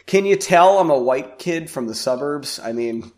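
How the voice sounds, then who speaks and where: A man, raspy and congested from a sinus infection, hosting a podcast in a rambling, self-deprecating way. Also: on the high side, expressive, moderate pace, a little mellow. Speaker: podcast host. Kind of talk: casual podcast chat.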